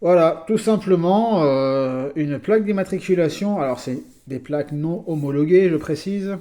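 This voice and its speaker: Chatty French collector with a mellow voice. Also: male voice, a little high, moderate pace. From France. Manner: chatty, hesitant with many fillers. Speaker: toy vehicle collector and channel host. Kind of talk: casual video presentation.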